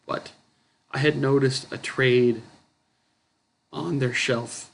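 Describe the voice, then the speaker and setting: A man chatting casually about his comic book haul, his voice going hoarse and rough, clearing his throat often and correcting himself as he rambles. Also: medium pitch, steady tone, slow, slight background noise. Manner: rambling, self-correcting, chuckling. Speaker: comic book collector vlogger.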